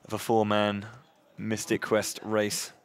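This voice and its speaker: Loud, chatty man hosting a charity marathon. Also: fairly low pitch, monotone, slow pace, clear, resonant tone. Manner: upbeat, chatty, many fillers and restarts. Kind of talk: live event hosting.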